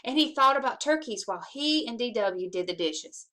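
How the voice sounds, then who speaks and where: A woman with a fairly high voice reads a picture book to schoolchildren. Her delivery is expressive and animated, with emphasis on the characters' lines. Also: moderate pace. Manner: animated, acts out dialogue with emphasis. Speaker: assistant principal reading aloud to schoolchildren. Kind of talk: expressive children's storybook read-aloud.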